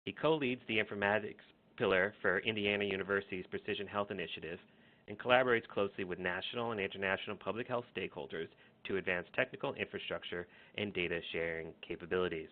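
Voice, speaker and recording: A man's voice: sits low, fast. Formal male webinar moderator, phone-like audio. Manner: reads announcements in a steady formal tone. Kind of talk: scripted introduction. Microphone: online webinar connection.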